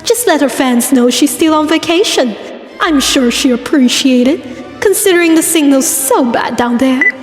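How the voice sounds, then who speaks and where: Mother queen pony, female, cartoon dub, woman actor: doting, brisk, chatty, high voice with long reverb. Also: natural voice. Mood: doting, breezy. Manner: affectionate, chatty, motherly fussing. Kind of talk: cartoon voice acting in a comic dub. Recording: very echoey, music underneath.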